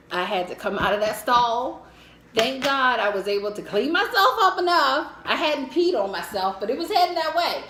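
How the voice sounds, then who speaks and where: A middle-aged American woman telling a casual, humorous personal story in a candid, animated way. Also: on the high side. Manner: animated, candid, acts out her own thoughts. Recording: echoey room with faint noise.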